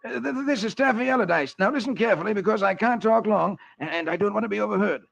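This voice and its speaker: A man's voice: sits high, quick pace, creaky, a bit bright, voice pushed up, heavily acted. A man voicing a nervous, scheming young villain who stammers furtively in a vintage children's radio reading. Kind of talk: 1940s children's radio comic-strip reading. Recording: muffled vintage radio recording.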